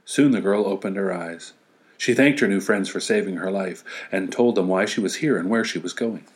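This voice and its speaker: A man's voice: sits low, normal speed. A man reading a story as narration, with wry, playful asides. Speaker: story reader.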